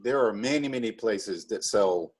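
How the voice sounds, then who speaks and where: Guy with a lowish raspy voice chatting in an interview, explains stuff kinda haltingly. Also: lively intonation. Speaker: school drama club director being interviewed. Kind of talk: casual interview talk.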